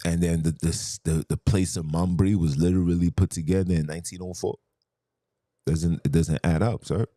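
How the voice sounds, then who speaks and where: A man's voice: deep voice, steady tone, normal speed, dark tone, no echo, studio quality. A man hosting a podcast, arguing in a heated monologue full of rhetorical questions and emphatic repetition. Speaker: podcast host.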